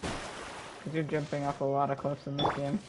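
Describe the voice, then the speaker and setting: A man's voice: mid-range voice, completely flat delivery, moderate pace, noisy background. A young man streaming a video game with casual, chatty commentary.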